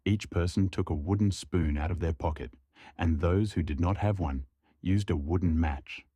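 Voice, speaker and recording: Male storybook narrator, low register, close and dry recording, scripted folk-tale reading. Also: normal speed, dark tone, slightly rough, no room sound.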